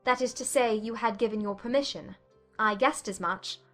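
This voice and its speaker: A woman playing a witty, candid young gentlewoman in a dramatized classic romance audiobook, polite and gently probing in formal period English. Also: a little high, moderate pace, faint music behind, natural voice. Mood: gently teasing.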